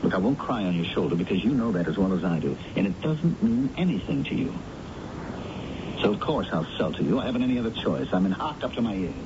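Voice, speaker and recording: An actor playing a bitter, lovelorn, defeated middle-aged editor with an American accent, theatrical and sardonic, in 1970s radio drama style. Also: male voice, fairly low pitch, sing-song, fast. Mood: wounded, bitter. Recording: muffled, noisy old radio broadcast recording.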